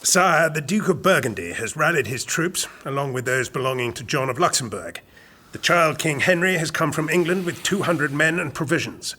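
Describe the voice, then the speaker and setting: A calculating court advisor in an audio drama, formal and persuasive, sharp but raspy voice. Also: male voice, on the high side, animated, moderate pace, exaggerated voice. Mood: admiring, reporting. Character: calculating, smooth-talking royal chamberlain and courtly schemer.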